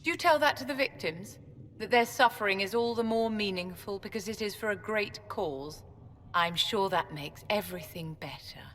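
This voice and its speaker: An actress playing a composed, grave older court mage in a video game, speaking in a measured, formal, gently condescending way. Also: female voice, mid-range voice, close to an everyday voice. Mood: pointed regret. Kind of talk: video game dialogue acting. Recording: long hall reverb.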